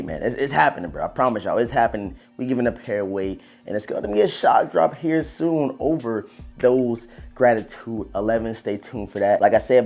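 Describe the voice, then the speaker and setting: A slightly hoarse man who has partly lost his voice, hosting a video with casual, slangy hype chat aimed straight at his viewers. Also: mid-range voice, darkish tone. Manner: slangy, informal, addressing viewers as bro and man. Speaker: sneaker video host.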